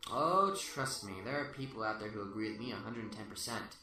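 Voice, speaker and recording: A man's voice: lowish voice, brisk, natural voice. A man acting a smug, taunting serial killer who philosophizes without remorse in an amateur radio play. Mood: smug, boastful. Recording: roomy, distant-mic sound under loud music.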